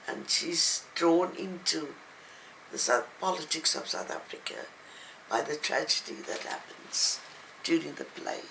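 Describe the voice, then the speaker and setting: An older woman speaking as an interviewed writer, reflective and halting, with thoughtful pauses. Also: deep voice, vocal fry, pushed and bright, a little hiss or hum, rough recording. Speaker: novelist and playwright being interviewed. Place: at home, indoors.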